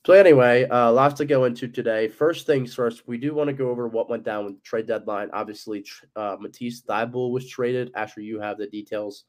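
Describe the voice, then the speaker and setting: A man's voice: medium pitch, fairly even intonation, a little fast, few pauses. A young man with a stuffy, cold-clogged voice, hosting a casual sports podcast and rambling with lots of ums and likes. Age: young adult. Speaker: podcast host.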